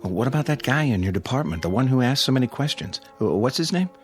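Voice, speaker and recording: An actor in a radio drama playing an anxious test pilot desperate to escape, tense and questioning. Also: male voice, medium pitch, expressive, fast talker, soft background music, natural voice. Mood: anxious, grave.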